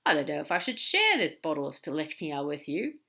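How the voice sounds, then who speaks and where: A woman's voice: low voice, big pitch swings, brisk, creaky, bright, forceful tone, exaggerated voice. A woman voicing a sly, fond male demon in a fan audiobook reading, with a casual, easygoing manner. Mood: teasing.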